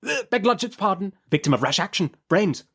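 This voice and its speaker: A man's voice: sits high, animated, fairly quick, fairly bright tone, husky, voice pushed up, heavily acted. A man voicing a fussy, deferential male lawyer in an audiobook, speaking in eager, clipped fragments. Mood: apologetic, hasty. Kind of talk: audiobook character acting.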